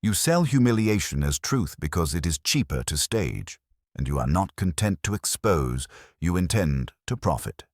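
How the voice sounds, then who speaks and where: A man plays a stern older detective in an audio mystery story. The voice is extremely low and slightly husky. He speaks in formal, measured rebukes, pedantic and full of scorn. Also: sing-song, no room sound, clean studio sound, exaggerated voice. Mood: imperious.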